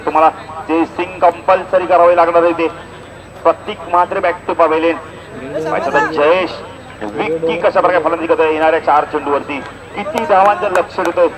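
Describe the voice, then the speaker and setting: A man doing live cricket commentary, moving between measured announcing and excited calls. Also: fairly high pitch, rough recording. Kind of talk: live local cricket tournament commentary in Marathi. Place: outdoors at a cricket ground. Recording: outdoor PA with crowd noise.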